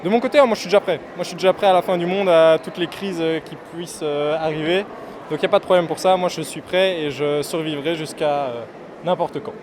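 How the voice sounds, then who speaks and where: A man's voice: on the high side, fast, background noise. A man stopped in the street for an interview, talking bluntly and emphatically. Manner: blunt, doom-laden and emphatic. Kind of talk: street vox pop.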